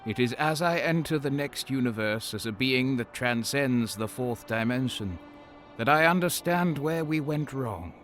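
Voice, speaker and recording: A man's voice: medium pitch, a little slow, a bit bright, faint music behind, close to an everyday voice. A man playing a solemn, all-knowing transcendent being, narrating an audio drama in a grave, portentous, scornful way. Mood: grave, foreboding.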